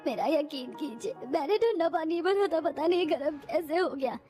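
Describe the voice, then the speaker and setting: A woman's voice: high voice, expressive, normal speed, warm tone, faint music behind. A woman voicing a timid young wife in a cartoon who pleads and apologizes. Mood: pleading.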